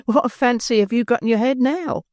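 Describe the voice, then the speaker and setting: A man voicing a pale, worn young woman who speaks softly and pleads tenderly, raising his voice high for her. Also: a little fast, dry recording, clean studio sound. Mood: strained.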